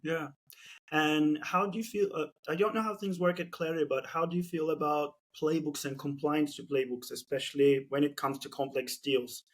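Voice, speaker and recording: Turkish guy hosting a sales podcast, kinda high voice, lots of ums while he thinks. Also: steady tone, moderate pace. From Turkey. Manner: thoughtful, many fillers and restarts. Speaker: podcast host. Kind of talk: casual business podcast chat.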